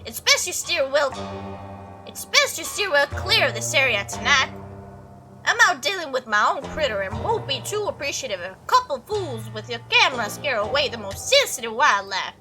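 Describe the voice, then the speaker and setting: A woman's voice: high-pitched, sing-song, moderate pace, pushed and bright, soft background music, exaggerated voice. A woman voicing a stubborn old male country farmer with a put-on Southern accent and a folksy, scolding manner. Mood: stern warning.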